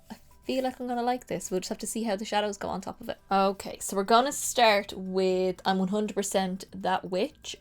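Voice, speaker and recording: A woman's voice: mid-range voice, darkish tone. Nasal, stuffy-voiced woman chatting makeup. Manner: chatty, rambling, self-correcting. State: nasal from a head cold. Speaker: makeup artist and beauty vlogger. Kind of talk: casual makeup tutorial chat.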